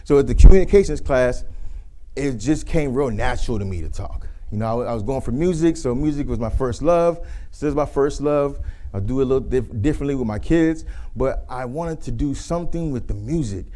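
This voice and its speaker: A man in his thirties with a deep voice, giving a casual workshop talk on communication and acting out flat and lively greetings as examples. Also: a little fast. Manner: conversational, demonstrates monotone versus lively greetings. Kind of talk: casual presentation with demonstrations.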